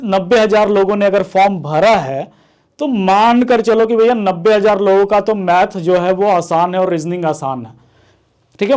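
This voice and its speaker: A man's voice: high-pitched, a little mellow. A man teaching exam students in an informal, conversational way, repeating phrases for emphasis and often checking in. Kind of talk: informal explanatory lecture to students.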